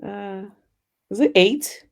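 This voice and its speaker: A woman's voice: medium pitch, slow, creaky. A woman from Ghana, chatting casually as an interview guest. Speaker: guest, digital business mentor.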